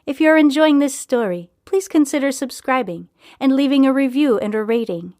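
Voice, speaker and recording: A woman's voice: sits high, normal speed, a little mellow, no room sound, clean studio sound. A woman hosting and narrating a scripted serial story podcast.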